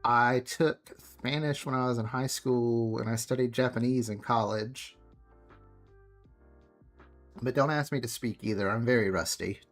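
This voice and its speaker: A man streaming himself drawing, chatting casually and softly, often trailing off mid-thought as he works. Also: medium pitch, a little slow. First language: English. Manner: soft, musing, trails off mid-thought while working. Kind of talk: casual chat while drawing. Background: faint background music.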